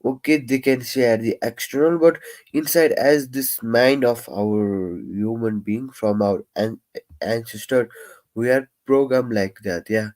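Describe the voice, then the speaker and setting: A young man who stammers, talking in a casual, reflective live-stream monologue with many pauses, fillers and restarts. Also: medium pitch, darkish tone.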